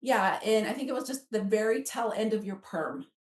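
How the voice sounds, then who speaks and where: A woman's voice: mid-range voice, moderate pace, far from the mic. A woman in her fifties with an American accent tells stories casually, acting out remembered dialogue as she goes. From Alaska, United States. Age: 50s. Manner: animated, acts out remembered dialogue. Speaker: guest author. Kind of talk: casual conversational storytelling. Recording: roomy echo, away from the mic.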